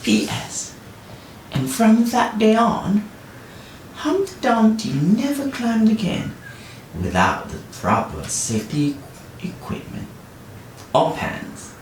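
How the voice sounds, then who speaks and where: A woman reading a children's story expressively as a teacher, with deliberate phrasing and dramatic pauses. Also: a little high, huge pitch swings, darkish tone. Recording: roomy, distant, faint noise.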